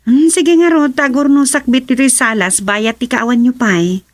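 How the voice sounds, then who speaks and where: A woman's voice: high-pitched, moderate pace, no echo, natural voice. An actress playing an inquisitive village woman in an Ilocano radio comedy drama, with an Ilocano accent.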